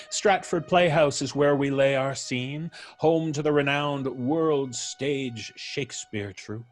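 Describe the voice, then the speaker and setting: A man playing an ominous horror show narrator, speaking in a dramatic, measured and grandiose way over a scripted introduction. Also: medium pitch, soft background music, pitched above the performer's own voice.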